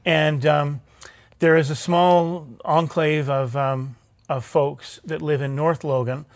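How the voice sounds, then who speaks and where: A man hosting a live talk show interview, chatty and halting, with many restarts as he looks for words. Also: a little high, level tone, dry recording. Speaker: host. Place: theatre stage, live show.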